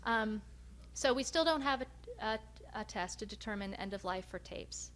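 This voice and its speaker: A woman researcher giving a research presentation, speaking in a measured, hesitant way with frequent pauses. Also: mid-range voice, a bit bright. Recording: noticeable background hum and noise.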